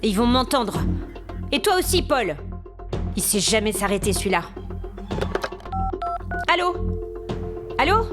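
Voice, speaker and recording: Over prominent music comes a frightened female tourist played by an actress in a horror audio drama. She talks to herself in an agitated, breathless way, moving between hushed muttering and exasperated calls, with a very lively melody. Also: fairly high pitch, a little slow, pauses often. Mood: angry, alarmed. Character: frightened young woman tourist trapped in a haunted hotel. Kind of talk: French-language horror audio drama monologue.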